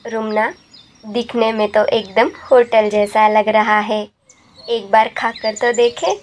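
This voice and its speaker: A woman's voice: on the high side, level tone, slow, slight background noise. A woman voicing a tired, scoffing mother bird.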